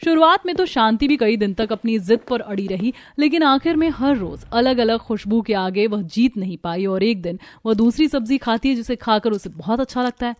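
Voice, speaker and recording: A woman narrating a Hindi cartoon story in a steady, scripted storytelling style. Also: on the high side, moderate pace, few pauses, no echo.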